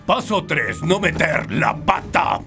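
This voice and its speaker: An actor playing a stern, domineering middle-aged male villain coach in a cartoon, deliberate and commanding. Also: on the high side, sing-song, bright, forceful tone, soft background music, full character voice. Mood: scheming.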